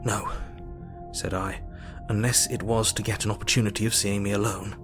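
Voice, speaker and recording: An actor playing a sober Victorian doctor who narrates his diary in an audio drama, measured and formal. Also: lowish voice, flat delivery, music in the background, natural voice. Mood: worried.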